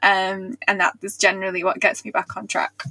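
A woman's voice: mid-range voice, monotone, brisk, sharp tone, no echo, rough recording. A woman guest author explaining her writing habits in a friendly, casual way, laughing mid-sentence. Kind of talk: casual interview chat.

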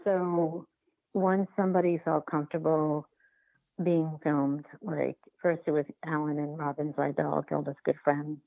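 A woman answering interview questions as a filmmaker, in a halting, conversational way with repeated words. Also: low-pitched, flat delivery, vocal fry, dry recording, phone-quality sound. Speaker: documentary filmmaker, podcast guest.

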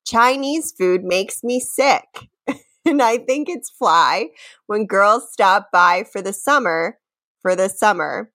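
Giggly, expressive woman podcaster, unhurried. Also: medium pitch. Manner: giggly, often breaking into laughter. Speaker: podcast co-host. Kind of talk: playful dramatic reading of lyrics mixed with casual banter.

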